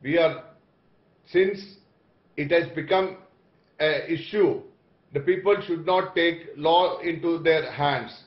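A man's voice: on the high side, slow pace, pauses often, muffled recording. Indian man on an echoey video call. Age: middle-aged. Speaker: political party spokesperson. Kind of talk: TV debate, defending a position. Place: small room, indoors, on video call.

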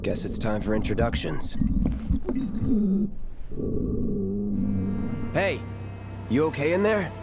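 A man playing an aloof young mercenary in a video game, speaking in a curt, deadpan, guarded way. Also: mid-range voice, moderate pace, creaky. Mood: cautious. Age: young adult. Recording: game music behind the voice.

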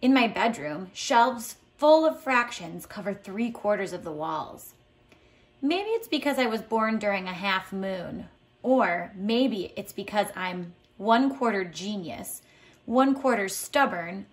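A woman reads a story aloud for children in a medium-pitched voice. Her delivery is deliberate and expressive, with emphasis on key words, in the manner of a teacher giving a lesson. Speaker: teacher reading a picture book aloud. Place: indoors at home, tiled floor.

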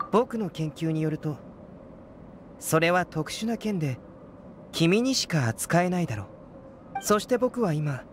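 A man voicing a composed, polite young male alchemist scholar in a game dub, measured and curious. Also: on the high side, slight background noise. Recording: game audio with clear music.

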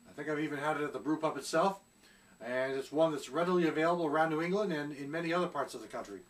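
A middle-aged man reviewing a beer at home in a casual, chatty way, often pausing on fillers as he searches for words. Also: a little high, far from the mic. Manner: chatty, hesitant with many fillers. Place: small room at home, seated indoors.

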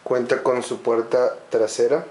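A man giving a slow, methodical walkthrough of an empty home, listing its features room by room. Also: medium pitch, level tone, a little mellow. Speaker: home sales guide. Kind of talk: walkthrough narration.

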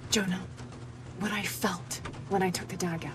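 A woman's voice: steady tone, normal speed, close to an everyday voice. An actress playing a determined young British woman adventurer in a video game cutscene, earnest and intent. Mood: earnest, pleading. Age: young adult. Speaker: lead adventurer character. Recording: music and vehicle rumble behind. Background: music, aircraft cabin rumble.

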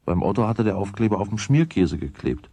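A man with a husky voice reading scripted storybook narration in a radio play. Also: lowish voice, sing-song, a little fast, dark tone, no echo.

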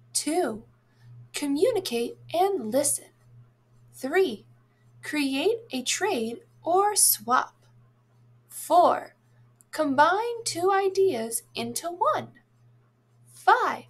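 A woman reading a children's storybook aloud in an expressive, deliberate way for young listeners. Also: sits high. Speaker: read-aloud narrator, teacher.

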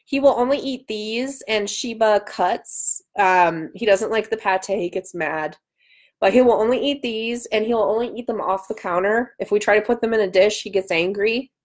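A woman's voice: medium pitch, moderate pace. Woman in her 30s doing a casual livestream chat, playful and chatty, webcam at home. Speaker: author hosting a livestream. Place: small room at home, indoors. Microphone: webcam at arm's length.

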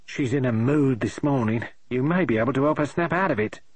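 A man's voice: medium pitch, brisk, slightly rough, no echo, lightly acted. A man doing a blunt, protective housemaid. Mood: confiding. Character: brisk, protective woman housemaid.

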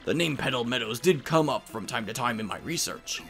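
Man putting on an excitable old Goomba professor. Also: mid-range voice, brisk, faint music behind. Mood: explaining. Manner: exclaiming, lecturing. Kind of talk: game fan-dub voice acting.

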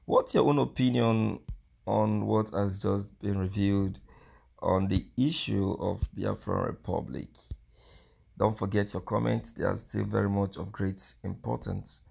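Slow gravelly-voiced male commentator. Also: fairly low pitch, steady tone, darkish tone. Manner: halting, repeats words, filler "uh". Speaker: news commentator. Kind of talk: casual news commentary.

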